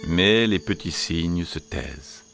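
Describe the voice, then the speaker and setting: Male storyteller, lowish pitch, very varied intonation, theatrical children's narration, musical backing. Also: slow pace, vocal fry. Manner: expressive, theatrical delivery. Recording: music behind the voice.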